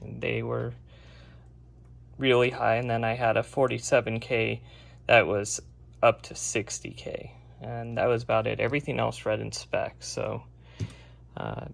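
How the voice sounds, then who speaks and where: A man giving a casual, unscripted, step-by-step narration of hands-on repair work, with a gravelly, creaky voice. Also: medium pitch, flat delivery, measured pace. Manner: plain-spoken, unscripted step-by-step explaining. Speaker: radio restorer presenting a repair vlog.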